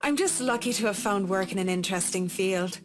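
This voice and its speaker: A woman's voice: medium pitch, a little fast, faint music behind, lightly acted. An actress playing a courteous, reserved woman interior designer in a visual novel, speaking politely.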